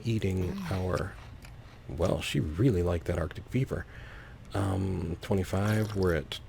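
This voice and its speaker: A man narrates his gameplay in a low, gravelly voice. He takes his time, thinking aloud with whimsical little asides. There is faint background noise behind him. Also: a little mellow. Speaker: let's player, host.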